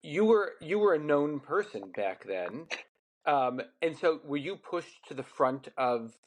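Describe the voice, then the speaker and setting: A male rabbi hosting a podcast interview. His voice sits a little high, and his questions come haltingly, breaking off and starting again. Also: lively intonation, rough recording.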